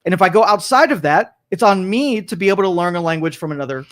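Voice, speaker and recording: A man's voice: high voice, fast talker, a bit bright. A man hosting a studio talk show, arguing political opinions in a rambling way with many restarts and mock quotes.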